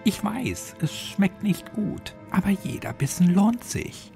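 A man's voice: high voice, big pitch swings, slow pace, gravelly, dark tone, faint music behind, voice pushed up, full character voice. A man voicing a cold, manipulative little girl in a horror audio story, persuasive and commanding. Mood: coaxing.

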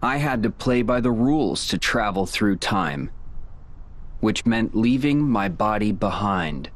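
An actor playing a cold, smug young male villain in a video game, explaining and taunting in measured lines. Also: lowish voice, expressive. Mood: cold, explanatory. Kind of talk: dramatic video game cutscene acting.

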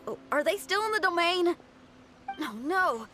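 Plucky musician: high, lively voice, folksy drawl, warm-hearted game heroine. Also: female voice, a little slow, faint music behind, heavily acted. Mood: worried. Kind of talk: video game voice acting.

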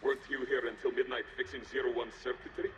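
Distant and echoing over clear noise, a man plays a genial alien scientist in a video game. He sounds proud of his work and speaks formally, at a middling pitch. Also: level tone, quick pace, fairly bright tone, highs cut off, natural voice. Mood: pleased, ceremonious. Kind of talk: scripted video game dialogue. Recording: echoey, distant, noisy archive playback.

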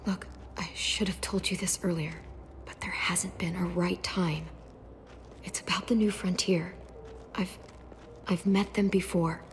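An actress playing a hardened teenage girl survivor in a video game, terse and guarded, often hushed. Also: fairly low pitch, steady tone, a little slow, a little hiss or hum, lightly acted. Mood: confessing, uneasy. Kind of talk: naturalistic video game acting. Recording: background music under the voice.

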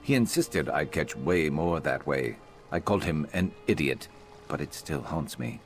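A man playing a wry, gruff reptilian adventurer companion in a video game, with a gravelly, dry, deadpan grumble. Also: extremely low pitch, normal speed, faint music behind. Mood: dry, grumbling.

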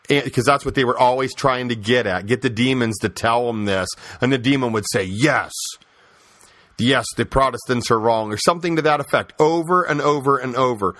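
A man's voice: medium pitch, a bit bright, dry recording. Emphatic male podcaster in a dry recording. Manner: emphatic, repeating phrases for stress; rhetorical questions, conversational. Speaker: podcast host. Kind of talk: opinionated religious commentary and storytelling.

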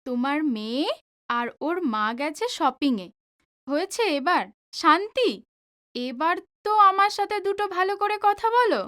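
A woman's voice: very high voice, expressive, measured pace, a bit bright, exaggerated voice. A woman playing a pouting, headstrong, lovestruck young woman in an audio drama, petulant and pleading. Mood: coy, insistent. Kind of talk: Bengali audio drama acting.

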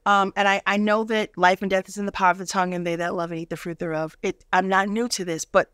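A woman's voice: medium pitch, level tone, fast talker, a bit bright, clean tone, no room sound. A middle-aged American woman telling personal stories emphatically in a studio interview, acting out the dialogue she quotes. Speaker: guest. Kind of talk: animated personal storytelling in a TV interview. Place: indoor TV studio.

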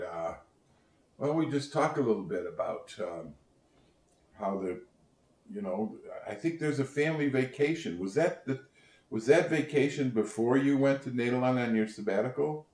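A man's voice: fairly low pitch, darkish tone, echoey room. An older man conducting an oral history interview, speaking reflectively, seated in a living room. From Netherlands and United States.

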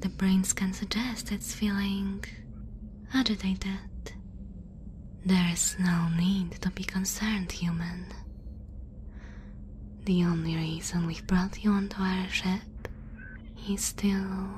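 A cautious alien woman scientist voiced by an actress whispers slowly and softly for an ASMR roleplay. She is formal, measured and reassuring. A faint ambient noise sits behind her. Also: mid-range voice, level tone, close to an everyday voice. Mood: calm reassuring. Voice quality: soft breathy whisper.

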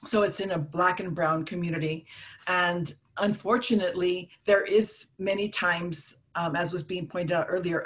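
A middle-aged woman speaks earnestly as a community organizer on a panel. She talks thoughtfully, often restarting her phrases. Her voice comes through earbuds on a video call. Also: lowish voice. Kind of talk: panel discussion remarks. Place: room at home, orange wall. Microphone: earbud headset on a video call.

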